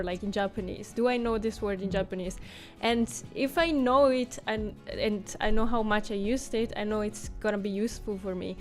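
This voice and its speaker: A woman whose first language is Italian, co-hosting a casual chat and laughing easily. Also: mid-range voice. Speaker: co-host.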